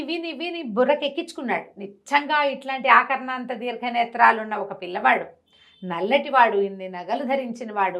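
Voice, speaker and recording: Animated woman telling a devotional tale. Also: a little high. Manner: animated, acts out the characters' voices. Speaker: storyteller. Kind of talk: devotional storytelling.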